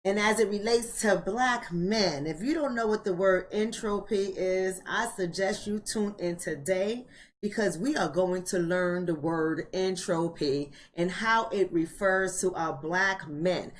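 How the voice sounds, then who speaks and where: A woman's voice: mid-range voice, a little slow, a little hiss or hum. A forty-year-old American woman hosts a casual live online chat in a mid-range voice. She talks directly to her viewers in colloquial language. She often repeats a phrase to drive it home emphatically. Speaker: host of a live online book club.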